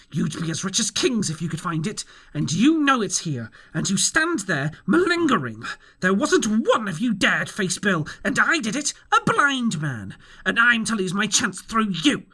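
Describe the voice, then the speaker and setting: A man voicing a cruel, blind old male pirate beggar who shouts and curses his crew in a high, loud voice. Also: exaggerated voice. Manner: shrill shouting, cursing and commanding.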